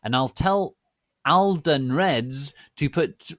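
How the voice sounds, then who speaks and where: A man voicing a petulant, defensive teenage girl author ranting at her critics, shouty and drawing out words. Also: a little high, lively intonation, slow, no room sound, studio quality, voice pushed up. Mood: defiant.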